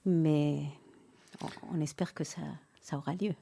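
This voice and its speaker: Argentine-born pianist and actress, middle-aged, halting and chatty, warm lowish tone. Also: female voice, steady tone. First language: Spanish. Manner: hesitant, many restarts and fillers. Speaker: pianist and actress, interview guest. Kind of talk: relaxed conversational interview.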